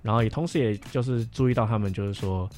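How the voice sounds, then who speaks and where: A man's voice: lowish voice, fast, darkish tone, soft background music. A young man with a Taiwanese Mandarin accent chatting casually and reflectively as a podcast co-host, explaining things as he goes. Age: young adult.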